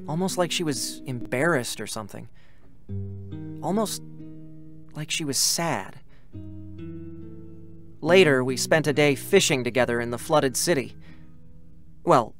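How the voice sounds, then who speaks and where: A man's voice: medium pitch, close to an everyday voice. An actor playing an earnest, wistful young male android soldier in a dramatic reading, chatty and sentimental with boyish hesitations. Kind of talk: dramatic scripted reading with first-person narration.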